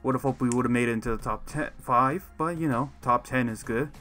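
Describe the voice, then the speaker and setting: A man's voice: medium pitch, fairly quick, pushed and bright, close to an everyday voice. A man playing a patient, encouraging mentor who explains things a little formally in a gaming roleplay. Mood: calm, instructive. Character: patient mentor to young supernatural fighters. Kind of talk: improvised roleplay chat while gaming. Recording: faint music behind the voice.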